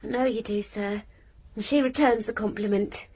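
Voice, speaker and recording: A woman's voice: medium pitch, fairly even intonation, normal speed, darkish tone, slightly rough, lightly acted. An actress playing a polite, innocent young girl with a respectful, eager manner.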